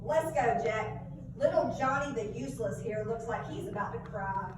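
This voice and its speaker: Woman as a high-voiced, taunting boy bully puppet. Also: normal speed, natural voice. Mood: mocking. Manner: taunting, emphatic. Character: mocking, scornful young boy bully puppet. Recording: echoey, noisy room, distant mic.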